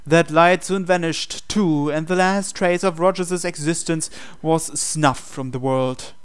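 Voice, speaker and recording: Male narrator for a visual novel read-aloud, slightly high register, smooth clean tone, dramatic scripted delivery. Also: fairly even intonation, a little slow.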